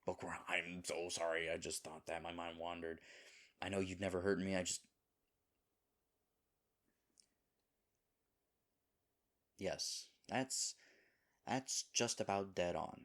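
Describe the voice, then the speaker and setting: Male actor as himbo boyfriend, low deep voice, sweet, slow-witted, halting, rambling, flustered, intimate audio roleplay. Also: steady tone, a bit bright. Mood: apologetic, uneasy. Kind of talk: audio roleplay acting, intimate one-sided dialogue.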